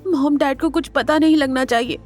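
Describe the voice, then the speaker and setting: A woman's voice: high voice, fairly even intonation, moderate pace, a little mellow, soft background music, natural voice. A woman playing a hurt, proud young wife in an audio drama, speaking earnestly and with emotion. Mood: subdued. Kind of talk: Hindi audio drama acting.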